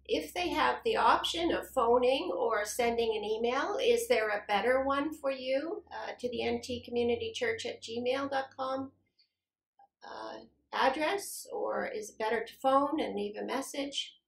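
A middle-aged woman interviewing a church staff member with gentle, measured questions in a small office. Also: on the high side, creaky. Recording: echoey office room.

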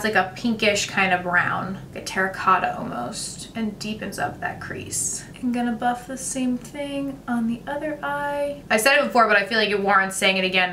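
A young woman, talking through a makeup tutorial in a chatty, gushing way, her voice a little raspy today. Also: mid-range voice, sharp tone, roomy sound, distant mic, a little hiss or hum. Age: young adult. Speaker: beauty vlogger. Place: bright home room with makeup shelves. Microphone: camera at close framing.

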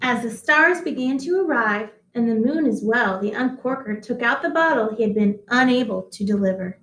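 A woman in her thirties reading a picture book aloud to children, deliberate and expressive, pausing to address listeners at home. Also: on the high side. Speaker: read-aloud host, teacher. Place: small indoor office-like room. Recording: echoey small room.

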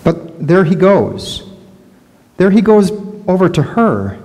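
A man's voice: high-pitched, sing-song, slow pace, a little mellow, voice pushed up, heavily acted. A middle-aged man voicing a skittish, fearful sheep in a first-person sermon monologue, recorded in a large church sanctuary. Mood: anxious. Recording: long church reverb.

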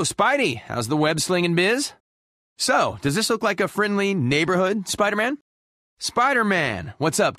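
A man's voice: a little high, a bit bright, dry recording, studio quality, heavily acted. A man voicing a cocky, goofy space outlaw hero in a video game, with flippant, sarcastic, playful swagger. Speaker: game character voice actor. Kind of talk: short video game voice lines, quips.

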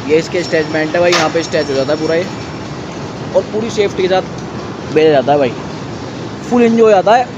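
Young male vlogger, high-pitched and excitable; muffled, noisy outdoor audio. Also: a little fast, pauses often. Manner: excited, chatty, keeps saying bhai. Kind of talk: casual vlog commentary. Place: outdoor mall walkway at night.

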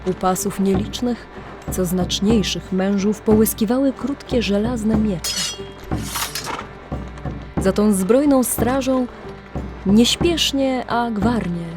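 A woman narrating a scripted audio drama legend in a measured, literary storytelling manner, with deliberate pauses. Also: medium pitch. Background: music.

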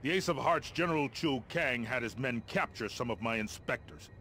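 An actor playing a gruff, impatient middle-aged American army colonel in a video game, briefing in a blunt, commanding, wry way. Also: male voice, mid-range voice, expressive, moderate pace, sharp tone, slight background noise. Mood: businesslike, urgent. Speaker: military officer giving mission briefings.

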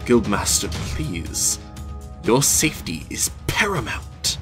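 A man playing an anxious, disapproving priest adviser, pleading and deliberate. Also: lowish voice, big pitch swings. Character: anxious, scolding pinch-faced priest adviser. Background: music.

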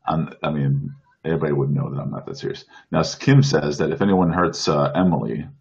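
A man's voice: extremely low pitch, brisk, gravelly, darkish tone, husky. A man in casual chat on a streamed show, earnest, often restarting his sentences over a video call. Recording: dull lo-fi stream audio.

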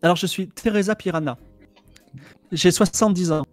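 A man's voice: high-pitched, moderate pace, faint music behind, natural voice. A man voicing a sweet seventy-year-old grandmother hosting guests, in a raised quavering voice. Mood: fussing hostess.

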